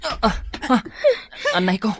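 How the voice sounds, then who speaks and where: A man's voice: high voice, lively intonation, normal speed, pushed and bright, slight background noise. An actor playing a friendly, naive young male student in an Ilocano radio drama. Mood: bewildered.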